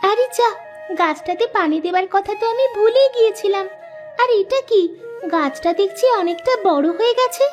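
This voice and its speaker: A woman's voice: very high voice, expressive, normal speed. A woman voicing a poor, earnest orphaned village girl in a moral-story cartoon. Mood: eager. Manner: exclaiming, talks to herself aloud. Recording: music behind the voice.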